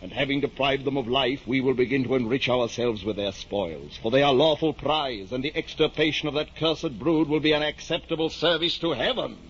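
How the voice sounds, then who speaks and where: A man's voice: mid-range voice, brisk, hardly any pauses, breathy edge, close to an everyday voice. A man playing a deluded, grandiose middle-aged knight-errant in a radio drama, declaiming in lofty archaic speech. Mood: grandiose, excited. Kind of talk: 1950s radio drama acting. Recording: muffled, noisy old-time radio recording.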